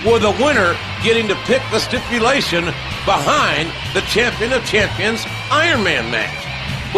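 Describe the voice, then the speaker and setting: An amped wrestling play-by-play announcer yelling over loud music, super high voice. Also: male voice. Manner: excited, shouting hype announcing. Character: hype-driven male wrestling commentator. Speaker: play-by-play commentator. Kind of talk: wrestling commentary.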